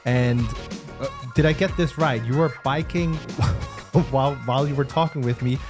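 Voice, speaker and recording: A man's voice: medium pitch, moderate pace, over music. A man co-hosting a podcast, asking questions in a playful, joking way and laughing often. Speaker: podcast co-host. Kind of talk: casual interview chat.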